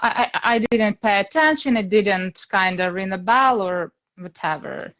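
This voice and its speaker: A woman's voice: medium pitch, lively intonation, moderate pace, fairly bright tone. Ukrainian-accented woman, hesitant speech. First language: Russian. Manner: hesitant, searching for words, many fillers. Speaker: guest teacher. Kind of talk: casual interview over a live stream.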